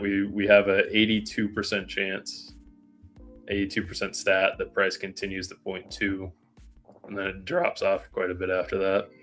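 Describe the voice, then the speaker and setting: A man's voice: sits low, fairly bright tone. A man co-hosting a live trading stream, reading viewer questions and calling out his order levels in casual chat.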